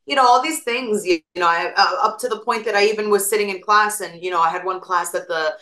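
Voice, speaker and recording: A woman's voice: mid-range voice, fast, sharp tone, echoey room. A woman with an East Coast American accent, a guest on an interview show, talking casually and telling stories with lots of examples. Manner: chatty, storytelling with examples. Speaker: guest, attorney and real estate broker.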